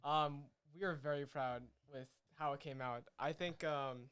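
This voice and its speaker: A man's voice: a little high, fairly even intonation, a bit bright, smooth. A man, co-creator of the show, chatting casually with many fillers and restarts. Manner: fast, rambling, many fillers. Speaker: co-creator and host. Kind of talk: casual chat.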